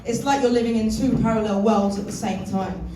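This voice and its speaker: A woman's voice: fairly high pitch, steady tone, a little fast, warm tone. A woman with a London accent doing a crude, chatty stand-up comedy set in a club, swearing freely. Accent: London English. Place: comedy club stage with live audience. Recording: echoey club room, mic at a distance, audible room noise.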